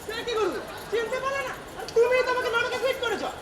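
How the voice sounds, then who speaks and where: Guy doing cocky young train robber ghost, stage comedy, super high voice, slangy threats, echoey hall. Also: expressive, quick pace. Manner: brash, slangy, threatening gangster swagger. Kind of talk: comic Bengali stage play acting. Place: theatre stage at a drama festival. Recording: echoey stage recording, audience noise.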